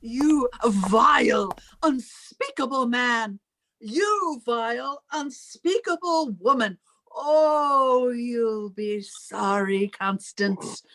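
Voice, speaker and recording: A high, slow, theatrical voice for a wealthy, vengeful older spinster , acted by a woman. She is imperious and controlling, with the heavy emphasis of old time radio melodrama. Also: a bit bright, full character voice. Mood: bitter, furious.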